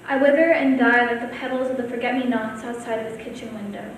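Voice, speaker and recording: A teenage girl, a high school student, reciting her own poem at a reading. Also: steady tone, fast. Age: teens. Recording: very echoey room, distant mic, faint noise.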